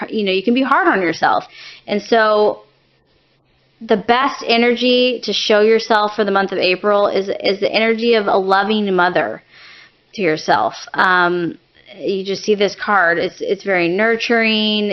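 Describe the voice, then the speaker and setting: A woman giving an informal tarot reading, offering gentle, reassuring advice as she talks. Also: medium pitch. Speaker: tarot reader. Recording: dull lo-fi sound.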